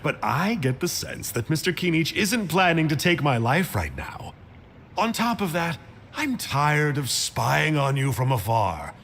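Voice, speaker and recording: A man's voice: a little high, a bit bright, a little hiss or hum, exaggerated voice. A man voicing a chirpy, chatty villainous mage in a video game, mock-polite, theatrical and rambling. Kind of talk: video game character acting. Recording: game music underneath.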